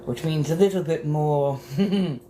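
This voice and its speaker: Woman, lowish voice, very slow and drawn-out, theatrical and flirty, steady background noise. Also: low-quality recording. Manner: flirtatious and theatrical, calls viewers darling. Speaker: livestream host. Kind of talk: casual livestream chat while getting ready. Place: bathroom.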